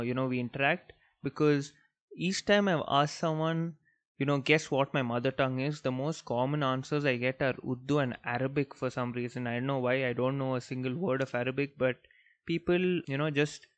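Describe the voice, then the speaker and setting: A man's voice: mid-range voice, moderate pace. Young man telling casual stories. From Bangalore, India. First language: Malayalam. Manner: relaxed, rambling, many fillers like "like" and "you know". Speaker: podcast host. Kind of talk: casual storytelling monologue.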